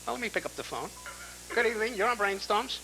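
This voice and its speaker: A man hosting a live call-in show, gruff and dismissive as he fields his callers in an exasperated way. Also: high-pitched, lively intonation, fast talker, loud music behind the voice. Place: public-access TV studio. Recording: buzzy, noisy public-access TV audio.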